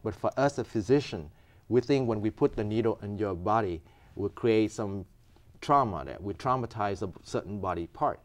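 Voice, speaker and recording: A man from Vietnam with a fairly low voice. He speaks earnestly and patiently, explaining his medical work point by point. His English has grammar. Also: normal speed. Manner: earnest, explaining step by step, non-native grammar. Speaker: physician guest. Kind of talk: explanatory interview answers.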